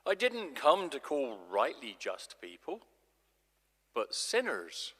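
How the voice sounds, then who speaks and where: A man playing an authoritative male religious teacher in a live dramatic scripture reading, declaiming in measured, emphatic phrases. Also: medium pitch, wildly swooping pitch, a bit bright, breathy edge, exaggerated voice. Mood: solemn teaching. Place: large church, live performance. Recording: echoey church, long reverb.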